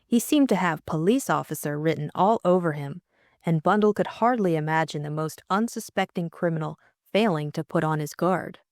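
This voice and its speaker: A woman narrating a classic novel as an audiobook, in measured, expressive scripted prose. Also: low voice, dry recording, clean studio sound.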